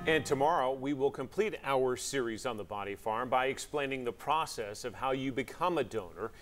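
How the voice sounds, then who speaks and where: A male anchor presents the evening news from a television studio in crisp, steady tones. His voice sits at a middle pitch. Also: normal speed, over music.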